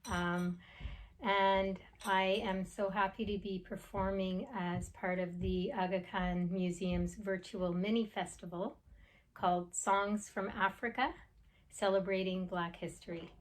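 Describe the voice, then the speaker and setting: A woman who grew up in Egypt introduces herself as a performer. She speaks slowly and without hurry, in a friendly, personal tone. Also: medium pitch, steady tone, slight background noise, poor audio. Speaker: performing musician. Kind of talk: self-introduction to camera.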